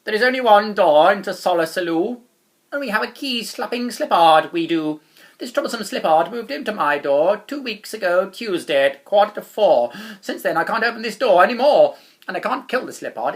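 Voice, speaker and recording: A woman's voice: medium pitch, lively intonation, brisk, natural voice. A woman voicing a kindly male doorman of a storybook city, speaking in rhyme with grand, emphatic delivery. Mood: troubled, explaining. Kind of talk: storybook character reading in rhyme.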